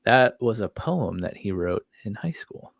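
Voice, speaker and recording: A man with a fairly low voice talks casually as a podcast co-host. He is informal and enthusiastic, and the sound is close and dry. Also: big pitch swings, measured pace, creaky, dry recording. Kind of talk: casual chat about horror series.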